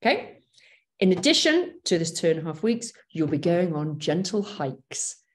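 A woman's voice: fairly low pitch, big pitch swings, normal speed. Chatty woman on a video call. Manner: chatty, enthusiastic, many fillers and restarts. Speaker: gap year program briefer. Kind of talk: online briefing. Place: home office, indoors.

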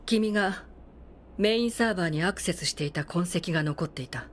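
Woman playing a low-voiced, stern android commander. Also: fairly quick, slight background noise, lightly acted. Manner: formal, grave, authoritative. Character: stern, weary adult female android military commander. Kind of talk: dramatic video game acting.

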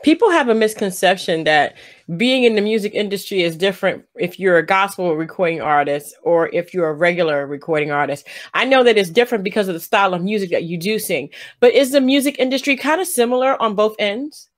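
A middle-aged woman hosts a video-call interview from her home. She affirms what her guest says and asks gentle, open questions at an ordinary middle pitch. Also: animated, brisk. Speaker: interview host. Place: home room indoors. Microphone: webcam on a video call.

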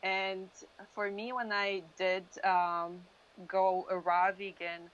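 A woman in her thirties, a native Polish speaker from Poland, telling her story casually and haltingly as an interview guest. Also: medium pitch, little pitch movement, a bit bright. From Poland, moved to US at thirteen. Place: dim indoor room, video call. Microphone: wired earphone mic. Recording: dull lo-fi video call audio.

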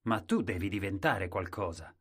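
A man's voice: sits low, expressive, moderate pace, husky. A man voicing a kindly, commanding king in a fairy tale, speaking in a firm, proclaiming way. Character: kindly, commanding old-fashioned male king.

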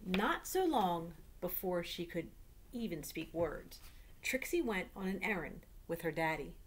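A woman's voice: lowish voice, sing-song, unhurried, a little hiss or hum. A middle-aged woman reads a children's picture book aloud as a storyteller at home. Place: small room at home.

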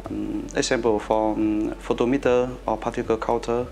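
A young man from Vietnam with a Vietnamese accent, giving halting answers in an interview. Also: medium pitch, little pitch movement, creaky, warm tone, faint music behind. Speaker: interviewee, trainee. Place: indoor office room. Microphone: lapel.

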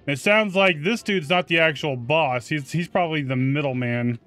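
A man's voice: fairly high pitch, normal speed, a bit bright, faint music behind. A man giving casual, informal video game commentary with slang and mild swearing. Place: close mic indoors, game music behind.

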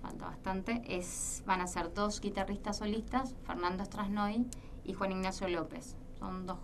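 A woman's voice: mid-range voice, steady tone, measured pace. A woman from Salta with an Argentine accent, a guest guitarist chatting casually in a radio interview, hesitant and full of fillers. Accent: Argentine Spanish. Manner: hesitant, many fillers and restarts. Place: radio studio. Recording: distant mic, faint room noise.

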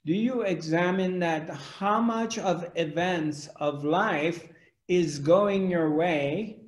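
A man in his forties speaks slowly to a webcam in a room at home, asking question after question with broad hand gestures. His voice sits a little high. The room adds a noticeable echo. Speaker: spiritual teacher. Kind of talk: spiritual discourse to camera. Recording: echoey room.

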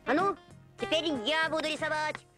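A woman's voice: high voice, steady tone, moderate pace, music in the background, close to an everyday voice. An actress voicing a brash, pushy boy in a cartoon, with a blunt, slangy way of talking. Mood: bossy. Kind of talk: animated film dialogue.

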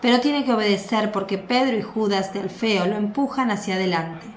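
A woman narrating a radio drama with a clear storytelling delivery. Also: mid-range voice, brisk. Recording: echoey room.

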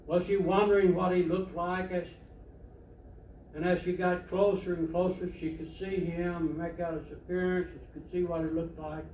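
An older man delivers a sermon from the pulpit, telling stories in a plain, conversational way. His voice sits fairly high for a man. It is picked up by a distant camera and echoes around the church sanctuary. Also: little pitch movement, normal speed, darkish tone. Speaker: preacher. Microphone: far camera. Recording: echoey church from far off, faint hiss.

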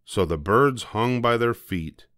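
A man's voice: mid-range voice, lively intonation, unhurried, a bit bright, dry recording, clean studio sound. An older man narrating a scripted children's storybook.

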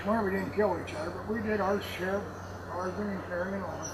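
A man's voice: high-pitched, steady tone, fairly quick. Older man telling stories over background noise. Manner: folksy, salty, laughs while telling stories. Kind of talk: rambling casual anecdote telling. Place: indoors in a warehouse with block walls. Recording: clearly noisy warehouse room ambience.

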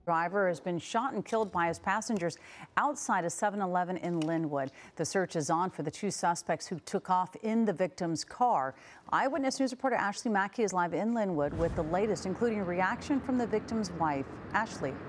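A woman news anchor reading a polished, brisk studio introduction. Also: on the low side.

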